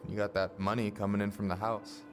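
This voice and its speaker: A man acting as a steady young American best friend in a story game. His voice is fairly deep and stays very even, gentle and reassuring in a natural, everyday way. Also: fast talker, music in the background, natural voice. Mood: gentle, concerned. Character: calm, supportive young male best friend.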